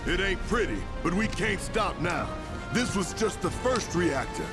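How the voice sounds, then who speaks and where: An actor playing a brash, passionate rebel leader in a video game, with a preachy, theatrical, folksy delivery. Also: male voice, high voice, very animated, a little slow. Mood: rousing, preachy. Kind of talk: dramatic video game acting. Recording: loud music behind the voice. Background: loud game score.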